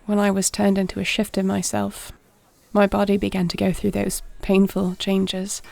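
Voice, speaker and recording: Woman acting a low-key, creaky-voiced warrior princess. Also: mellow, no room sound. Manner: formal, measured, tender diction. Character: gentle, dignified warrior princess and shapeshifting commander, softly confiding. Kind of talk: intimate fantasy audio roleplay.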